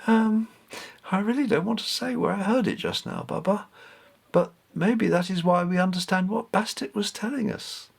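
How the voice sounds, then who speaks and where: A man's voice: high-pitched, moderate pace, vocal fry, husky, voice pushed up, heavily acted. A man voicing an inquisitive, slightly hesitant young grandson in a storybook, earnest and questioning. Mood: reluctant. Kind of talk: storybook character voice.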